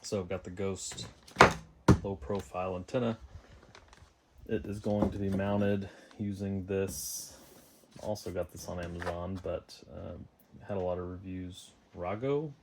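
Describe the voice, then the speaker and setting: A man's voice: deep voice, fairly even intonation, a little slow, very noisy. A man giving a casual, unscripted how-to as a DIY vlogger, thinking aloud as he goes. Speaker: DIY vlogger installing a car radio.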